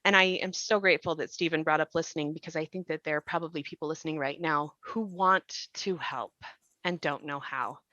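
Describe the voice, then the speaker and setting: A woman talking earnestly and deliberately in a reflective podcast discussion, offering supportive advice. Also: deep voice, sharp tone, dry recording, lo-fi sound. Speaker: podcast panelist and creator.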